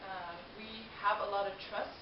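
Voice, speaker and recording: A woman's voice: mid-range voice, steady tone, normal speed. A young adult woman from Denmark, a native Danish speaker, giving a prepared informative presentation to an audience. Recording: distant mic, roomy echo, muffled, hissy noise.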